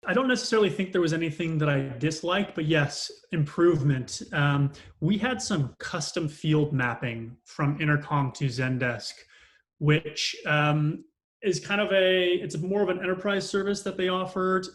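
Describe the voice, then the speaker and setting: Higher-voiced man, hesitant testimonial speaker. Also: a bit bright. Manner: hesitant, pausing often mid-sentence. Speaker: customer success operations manager giving a testimonial. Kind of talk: unscripted customer testimonial.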